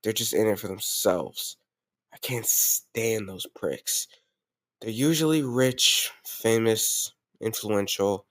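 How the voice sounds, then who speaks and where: A man's voice: fairly low pitch, slow, fairly bright tone, dry recording. A man playing a doting but ruthless mafia boss in an intimate audio roleplay, commanding yet affectionate, using gangster slang. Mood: disgusted.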